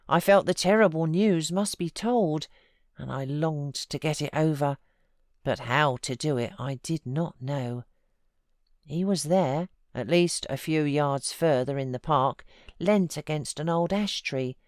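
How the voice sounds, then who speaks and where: A British woman narrating classic literature as an audiobook, with measured, expressive storytelling. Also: deep voice, no room sound, studio quality. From United Kingdom. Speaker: audiobook narrator and voiceover artist.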